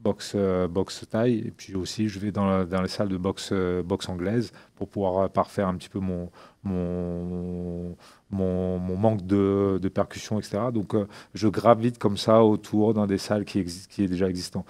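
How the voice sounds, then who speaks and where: A middle-aged man from Réunion, answering interview questions with halting speech, many fillers and restarts. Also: sits low, flat delivery, darkish tone. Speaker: MMA coach and former champion.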